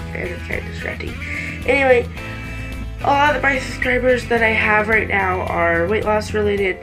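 A woman in her late teens with congested sinuses, chatting casually and hesitantly to camera as a vlogger, easily distracted. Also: medium pitch. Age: late teens, almost 18. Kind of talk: casual rambling chat to camera. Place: small bedroom, indoors.

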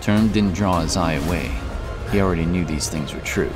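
A man's voice: deep voice, normal speed. A man reading scripted fantasy narration in a dramatic storytelling style. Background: prominent music.

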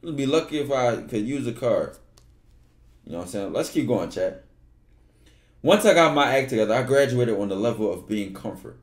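A young man streaming from his bedroom on a headset, reading a book aloud with relaxed, slangy asides. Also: medium pitch, normal speed, long pauses, reverberant. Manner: relaxed, slangy, loud outbursts when joking.

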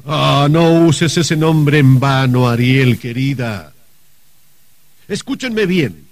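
An actor playing a mocking, menacing middle-aged uncle in a melodramatic radio drama, husky and theatrical, with halting, sardonic delivery. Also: male voice, mid-range voice. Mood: mocking, ominous. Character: gleeful, mocking, menacing uncle, a murdered novelist host.

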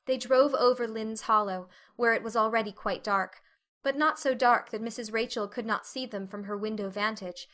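A female audiobook narrator with a bright, crisp-edged voice. She reads literary prose expressively, in a measured storytelling manner. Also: fairly high pitch. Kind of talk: dramatic scripted narration of a novel.

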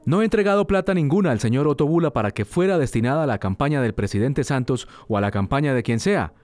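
A man's voice: mid-range voice, animated, darkish tone, no room sound. A man giving a firm formal statement from an archive clip. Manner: firm denial, rapid. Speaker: interviewee.

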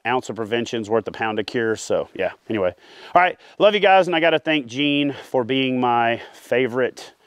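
A man, hosting a fishing channel and giving casual advice straight to camera outdoors by a lake, animated and full of "uh" fillers. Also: medium pitch, clean tone, no room sound, studio quality. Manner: animated, talks with his hands, many "uh" fillers. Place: outdoors by a lake among trees.

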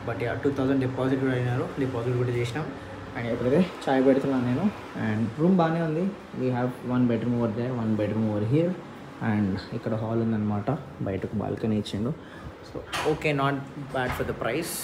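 A young man vlogging casually, mixing in English words as he talks about his trip and the rental flat. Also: medium pitch. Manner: mixes Telugu with English, chatty and upbeat. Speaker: motovlogger. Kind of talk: casual vlog narration. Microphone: lav mic on helmet while riding. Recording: road noise.

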